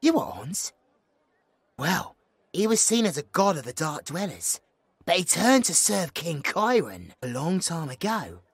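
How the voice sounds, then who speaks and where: Woman as an animated British bard, cheeky and young. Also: mid-range voice, breathy edge, no echo, clean studio sound, full character voice. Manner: animated, colloquial, playful. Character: cheeky, excitable, chatty young female village bard. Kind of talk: fantasy audio roleplay acting.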